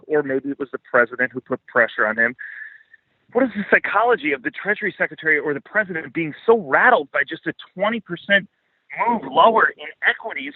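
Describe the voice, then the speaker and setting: A man's voice: on the high side, dry recording. Phone-line man, blunt and emphatic. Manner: blunt, profane, emphatic, repeats points. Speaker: podcast guest, financial commentator. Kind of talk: opinionated interview commentary. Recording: thin phone-like line, dry.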